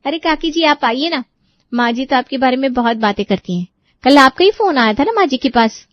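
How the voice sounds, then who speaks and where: A woman's voice: sits high, expressive, fairly quick, no room sound, voice pushed up. Woman acting as young daughter-in-law, cheerful, sweetly insistent, audio story drama, dry muffled recording. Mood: cheerful, chatty. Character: cheerful, chatty, clingy young daughter-in-law with a teasing edge. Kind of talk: acted audio story dialogue.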